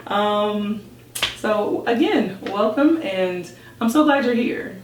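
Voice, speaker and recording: An American woman hosting a podcast interview in a chatty, informal and excited way. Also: mid-range voice, slow. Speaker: podcast host. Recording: roomy, distant mic with background noise.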